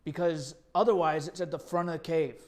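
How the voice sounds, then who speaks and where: A man's voice: on the high side, fairly even intonation, fairly quick, a little mellow. A man performing and hosting a live improv comedy show, playful and fond of repeating a line for laughs.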